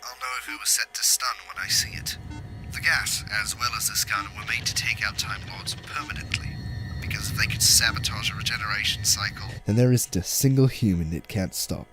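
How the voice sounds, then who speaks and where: A man playing a cool, sardonic alien mastermind in a fan audio drama, speaking in dry, deliberate monologues. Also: fairly low pitch, lightly acted, poor audio. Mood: cold, accusing. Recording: music clearly under the voice.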